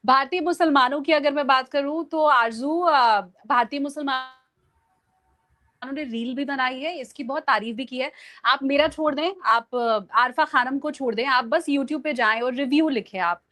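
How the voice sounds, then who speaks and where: A woman's voice: sits high, measured pace, bright, forceful tone. A woman giving conversational political commentary, emphatic and explanatory, repeating phrases for stress. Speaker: journalist guest on a discussion show.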